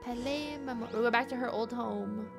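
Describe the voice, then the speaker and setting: A woman's voice: on the high side, normal speed, vocal fry. A woman doing casual, informal commentary as she livestreams a video game. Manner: informal, conversational. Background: game music.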